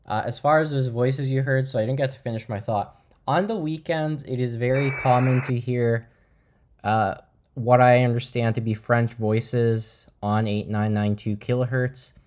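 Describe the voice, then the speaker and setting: A man hosting a livestream, talking casually and haltingly as he thinks aloud. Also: medium pitch, steady tone, darkish tone, a little hiss or hum. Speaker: livestream host.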